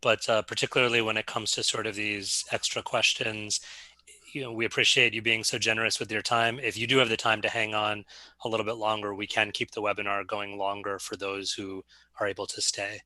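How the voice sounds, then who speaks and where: A man's voice: lowish voice, little pitch movement, brisk, pushed and bright, no echo. A man hosting a webinar on a video call, asking questions politely and hesitantly, often repeating words. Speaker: webinar host and moderator.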